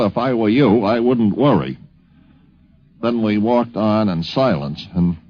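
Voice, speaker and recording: A man recalling a personal anecdote in a measured, deliberate way, pausing mid-sentence, on a 1950s radio recording. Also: lowish voice, muffled recording. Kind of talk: anecdote told from memory.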